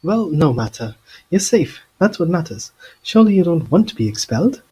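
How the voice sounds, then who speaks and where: An actor playing a fussy, evasive middle-aged government minister in an audio drama, with a pompous, formal manner. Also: male voice, on the high side, very animated, moderate pace, mellow, slightly rough, full character voice, poor audio. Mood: nervous reassurance.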